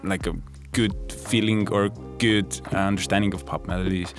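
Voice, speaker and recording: A man's voice: low-pitched, steady tone, moderate pace. A man from Estonia, a music producer, answering interview questions in a thoughtful, hesitant way with many fillers. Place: podcast studio.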